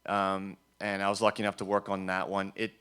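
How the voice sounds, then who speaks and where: A man's voice: deep voice, monotone, brisk, a bit bright, smooth. A man speaking live to an audience about his film work, hesitant and full of restarts. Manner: hesitant, with many restarts and fillers. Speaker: guest filmmaker at a screening talk.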